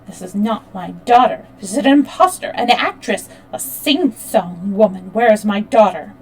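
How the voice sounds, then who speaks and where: A woman reading the lines of an angry, scornful middle-aged father, loud and sharp. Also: mid-range voice, moderate pace, close to an everyday voice, low-quality recording. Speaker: audiobook reader. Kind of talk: read-aloud fiction dialogue.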